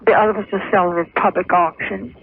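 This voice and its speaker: An older woman from Louisiana calling a radio show, speaking in a halting, measured way. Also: mid-range voice, steady tone, vocal fry, breathy edge. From Louisiana, USA. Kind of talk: phone-in conversation. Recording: muffled phone line on radio.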